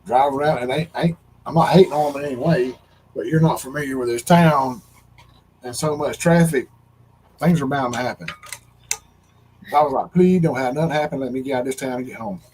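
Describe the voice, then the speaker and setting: A man's voice: medium pitch, a little fast, long pauses, darkish tone, rough recording. A man with a Southern US accent and a mid-range voice, recorded with faint noise behind him. He talks in a folksy, rambling, joking style, like someone swapping stories on a casual livestream. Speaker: livestream co-host. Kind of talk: casual chat and storytelling. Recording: noticeable background noise.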